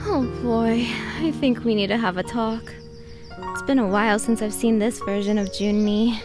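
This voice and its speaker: A woman playing an earnest, caring younger sister, a student, in an audio drama, with an expressive and insistent delivery. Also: on the high side, moderate pace, music in the background, lightly acted.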